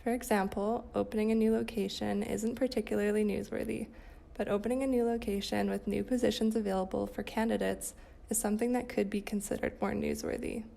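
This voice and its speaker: A woman's voice: mid-range voice, little pitch movement, fairly quick, gravelly, mellow. A woman working in PR, giving a scripted explanatory answer for a company podcast. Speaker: PR account manager.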